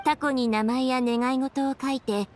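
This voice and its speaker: A woman's voice: sits high, fairly even intonation, normal speed, darkish tone, a little hiss or hum, natural voice. An actress playing a thoughtful young woman official in an anime game, composed and articulate as she explains things. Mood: pleased, reflective. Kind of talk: anime video game voice acting.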